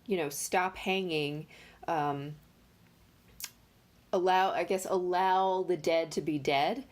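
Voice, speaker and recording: A woman giving a casual tarot reading, talking in a nasal, congested voice with many pauses and fillers. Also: lowish voice, fairly even intonation. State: congested from allergies. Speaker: tarot reader.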